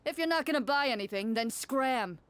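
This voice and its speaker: An actor playing a surly, stingy city merchant in a video game, who talks in a gruff, dismissive way. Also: male voice, very high voice, lively intonation, brisk, fairly bright tone, no room sound.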